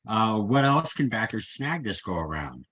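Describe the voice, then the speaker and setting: A man's voice: lowish voice, sing-song, normal speed, fairly bright tone, breathy edge. A man interviewing a guest in a casual, agreeable and encouraging way over a video call. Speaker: interviewer, host.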